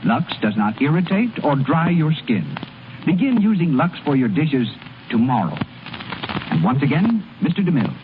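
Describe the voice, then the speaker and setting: A man announces a vintage radio commercial from a script. His voice is fairly high and sweeps up and down. The broadcast is tinny with some hiss. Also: sing-song, normal speed. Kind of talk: 1930s radio advertisement, scripted.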